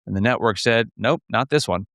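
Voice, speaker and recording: A man's voice: lowish voice, fairly quick, a bit bright, no echo, clean studio sound. A man reading a scripted, documentary-style narration for a list video.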